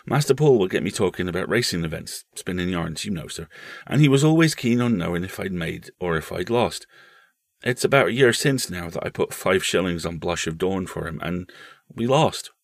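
A loyal manservant, acted by a male narrator in a storybook reading. He is solemn, deferential and earnest, choosing his words carefully. The voice is gravelly and recorded very dry. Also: low voice, animated, normal speed, no room sound, full character voice. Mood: explaining. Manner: respectful, hesitant, earnest.

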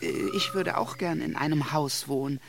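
A man voicing a timid, lonely little male creature in a children's radio play, anxious and jumpy in pitch. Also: moderate pace, creaky, a little hiss or hum. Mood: wistful. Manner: anxious, shrill jumps in pitch when alarmed. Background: soft music.

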